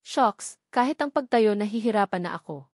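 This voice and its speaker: A woman's voice: medium pitch, expressive, moderate pace, a little mellow, no echo. Woman narrating a romance novel, dry sound. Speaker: story narrator. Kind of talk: scripted first-person romance novel narration.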